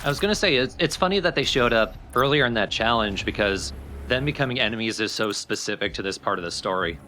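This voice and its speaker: Bright, sharp-voiced man bantering. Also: mid-range voice, expressive, quick pace, a little hiss or hum. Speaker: let's play co-commentator. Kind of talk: casual banter.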